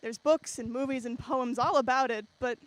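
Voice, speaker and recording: A woman's voice: high voice, normal speed, dry recording, natural voice, poor audio. A woman playing a wry, playful storyteller who introduces a science fiction radio play straight to the listener. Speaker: narrator introducing an anthology play. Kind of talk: scripted radio drama narration.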